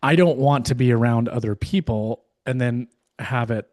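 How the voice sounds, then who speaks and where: A man co-hosting a podcast, hoarse and losing his voice, talking in a casual, rambling way with many fillers and restarts. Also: mid-range voice, normal speed, darkish tone, dry recording, clean studio sound. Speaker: podcast co-host. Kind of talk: casual chat.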